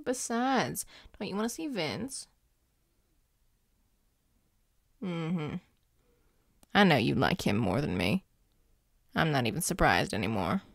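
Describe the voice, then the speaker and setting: Woman as gravelly, slow-talking werewolf boyfriend. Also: low-pitched, sing-song, dry recording, studio quality, exaggerated voice. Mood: teasing, gruff. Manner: gruff but tender, reassuring, halting. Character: grumpy, devoted young male werewolf boyfriend. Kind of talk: intimate audio roleplay, spoken to the listener.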